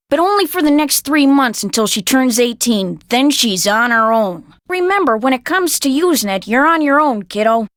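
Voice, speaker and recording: High-pitched, folksy, harried American woman innkeeper. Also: a bit bright, no echo, clean studio sound. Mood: resigned, worried, then chipper. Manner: folksy, wisecracking, exasperated, dramatic. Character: folksy, harried, sharp-tongued guardian and innkeeper in 1930s America. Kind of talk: video game character dialogue with old-fashioned slang.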